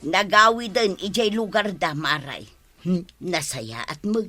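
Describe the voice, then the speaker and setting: Village confidante, Ilocano accent, soothing, a little low but crisp and bright, radio drama. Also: female voice, measured pace. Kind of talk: comedy radio drama acting.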